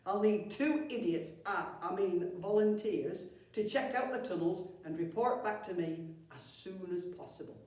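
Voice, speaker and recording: A man's voice: high-pitched, measured pace, few pauses, a little mellow. A woman voicing a grumpy, bossy goblin chief in a children's storybook, with a snappish, commanding delivery. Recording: very echoey room, far from mic.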